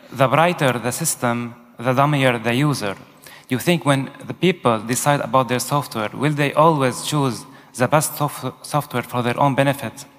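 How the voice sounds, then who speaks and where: A man's voice: mid-range voice, fairly bright tone. A man in the audience asking the speaker a question, hesitant and full of filler sounds. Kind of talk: conference Q&A question. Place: large conference hall. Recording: echoey conference hall.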